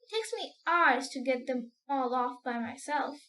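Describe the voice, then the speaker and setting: Lady doing a big pushy centipede guy from a kids book, chummy, high voice. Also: animated, unhurried, a bit bright, distant mic. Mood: cheery, bossy. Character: hearty, demanding giant male centipede.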